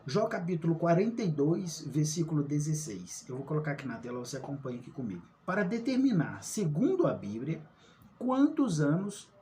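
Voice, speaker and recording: Casual online Bible teacher, male, mellow tone, keeps checking in with his audience. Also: on the high side, slight background noise. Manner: asks rhetorical questions, checks in with "tá?". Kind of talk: informal Bible study talk.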